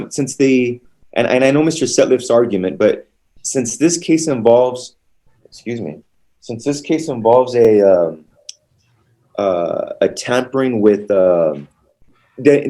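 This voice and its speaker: A man pleading and negotiating as a lawyer in court, conciliatory, halting and repeating his words. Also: mid-range voice, expressive, mellow. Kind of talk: courtroom negotiation and plea to a judge.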